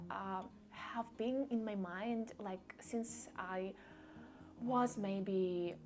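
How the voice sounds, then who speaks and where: Young woman, Russian accent, unhurried halting delivery, casual vlog storytelling, clear music underneath. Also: mid-range voice, darkish tone. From Belarus. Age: young adult. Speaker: vlogger. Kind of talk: casual personal storytelling to camera. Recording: music behind the voice.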